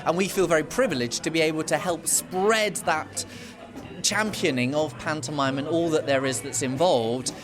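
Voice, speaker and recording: A man's voice: a little high, normal speed, music in the background. A man, an awards organiser, speaking effusively and with a lot of enthusiasm as an interview guest. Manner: effusive, gushing, lively.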